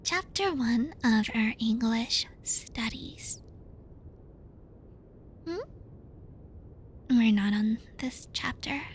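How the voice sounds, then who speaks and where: A woman playing a bratty, flustered tsundere student in an ASMR roleplay, speaking softly and breathily close to the mic in a pouty, halting, defensive way. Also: a little high, expressive, slight background noise. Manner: halting, pouty, defensive, stumbles over words. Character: bratty tsundere young female student, flustered, teasing and bossy. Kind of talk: ASMR roleplay addressed to the listener.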